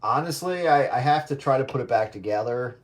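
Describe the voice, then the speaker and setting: A man with a medium-pitched voice talks casually on a radio round table. He reminisces in an easy conversational style. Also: expressive, fairly quick. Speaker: radio operator.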